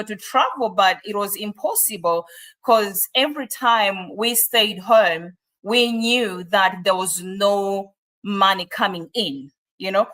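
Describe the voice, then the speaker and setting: Guest speaker, female, Malawian accent, bright forceful voice, emphatic personal testimonial. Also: mid-range voice, low-quality recording. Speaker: guest, business coach.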